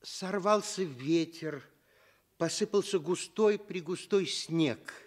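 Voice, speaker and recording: A man narrating a scripted fairy tale as an expressive storyteller. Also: high-pitched, slow.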